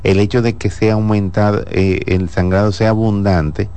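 Male gynecologist, radio guest; low-pitched, patient and explanatory, laughs easily. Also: flat delivery, normal speed, dark tone, smooth. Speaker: gynecologist answering listeners. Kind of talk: radio health talk show.

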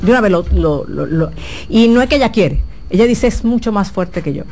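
A woman's voice: medium pitch, expressive, fairly quick, darkish tone, slight background noise. A woman giving frank, explanatory advice as a guest sex therapist on a talk show.

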